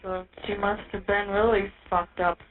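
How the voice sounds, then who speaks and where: A woman's voice: medium pitch, flat delivery, moderate pace. A woman in a casual, blunt phone conversation from jail, heard over a narrow phone line. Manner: blunt, profane. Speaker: inmate phoning from jail.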